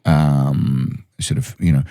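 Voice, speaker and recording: A man's voice: extremely low pitch, fairly even intonation, slow pace, mellow, faint music behind. A man hosting a reflective discussion, repeating phrases for emphasis. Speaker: podcast host.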